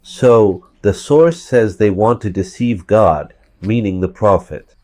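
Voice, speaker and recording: A man with a hoarse voice from a cold, giving verse-by-verse scripture commentary and repeating points for emphasis. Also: lowish voice, takes it slowly, warm tone, no room sound. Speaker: religious commentator.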